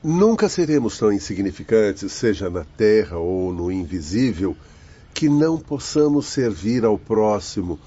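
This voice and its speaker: A man playing a wise, consoling doctor in a radio drama, moralizing gently in formal speech. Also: fairly low pitch, big pitch swings, measured pace, husky, heavily acted. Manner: formal, counselling. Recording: dull lo-fi radio audio.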